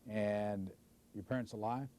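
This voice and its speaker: A man's voice: lowish voice, steady tone, unhurried, dark tone. A man interviewing a guest, asking short, direct questions.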